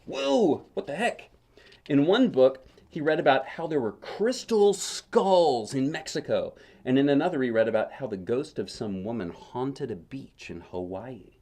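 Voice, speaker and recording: A man's voice: mid-range voice, lively intonation, unhurried, a little mellow. A man reading a picture book aloud as a dad would at bedtime, expressively, with casual, wondering asides.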